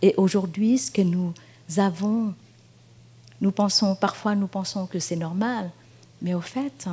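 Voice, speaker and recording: A woman with a Vietnamese accent gives a dharma talk, speaking very slowly in a mellow, slightly husky voice. She is gentle and unhurried, pausing often and filling gaps with soft fillers. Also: mid-range voice. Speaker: Buddhist nun teacher. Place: meditation hall at a retreat.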